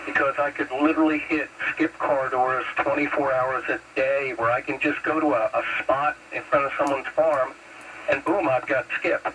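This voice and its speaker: A man's voice: medium pitch, steady tone, normal speed. A middle-aged American man chatting casually and telling stories as a CB radio operator, heard over a radio transmission. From Pennsylvania, United States. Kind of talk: casual radio chat, storytelling. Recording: noisy, distant CB radio transmission. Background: radio static.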